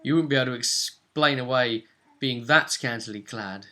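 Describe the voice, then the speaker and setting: A man's voice: mid-range voice, normal speed, sharp tone. A man casually recapping a tabletop role-playing session as game master, chatty and often ending on tag questions.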